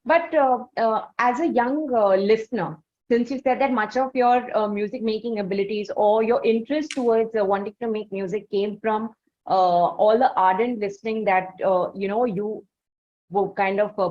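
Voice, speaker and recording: A woman's voice: mid-range voice, fairly even intonation, moderate pace, darkish tone. A woman hosting an interview, asking questions in a hesitant, roundabout conversational way. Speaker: interviewer, host. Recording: slightly dull, lo-fi audio.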